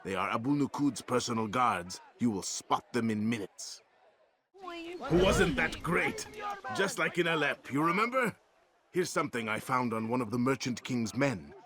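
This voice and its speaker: A man's voice: mid-range voice, lively intonation, moderate pace, a bit bright, close to an everyday voice. A man playing a friendly informant in a video game, talking eagerly and familiarly to an old comrade. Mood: chummy, helpful.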